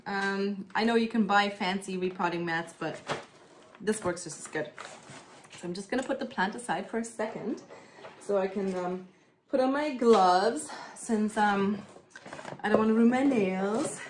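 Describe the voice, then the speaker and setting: A woman's voice: mid-range voice, unhurried, background noise. Chatty German-accented woman vlogging. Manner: chatty, with frequent ums and "kinda". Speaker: plant care vlogger. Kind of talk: casual chat while doing plant chores.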